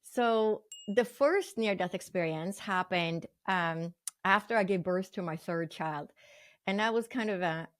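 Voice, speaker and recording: A woman's voice: medium pitch, unhurried. Earnest older woman from Sweden. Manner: earnest, vivid storytelling with fillers. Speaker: guest. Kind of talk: storytelling interview talk.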